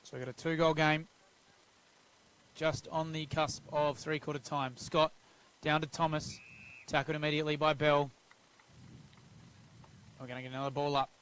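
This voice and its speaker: A man's voice: fairly high pitch, normal speed, long pauses, a little hiss or hum, rough recording. An Australian man giving live play-by-play commentary on a local football match. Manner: steady, even play calling.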